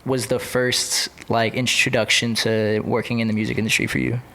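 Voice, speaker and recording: A man's voice: medium pitch, level tone, normal speed, pushed and bright, rough recording. Laid-back male podcast host. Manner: laid-back, affirming, asks follow-up questions. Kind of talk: casual interview chat.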